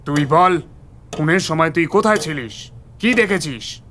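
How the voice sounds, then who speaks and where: A man playing a gruff, stern police officer in a cartoon, blunt and commanding. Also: a little high, lively intonation, a little slow, fairly bright tone, slight background noise. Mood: suspicious, stern. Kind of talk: Bengali cartoon detective dialogue.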